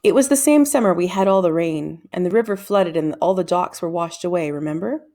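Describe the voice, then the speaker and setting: A woman voicing a quick-witted, guarded young thief boy in a children's storybook, answering tersely and plainly. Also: lowish voice, animated, dark tone. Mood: earnest. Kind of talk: children's storybook read-aloud.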